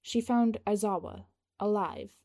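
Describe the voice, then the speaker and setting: A woman's voice: medium pitch, measured pace, warm tone, close to an everyday voice. Woman voicing a practical, patient male aide. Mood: hesitant.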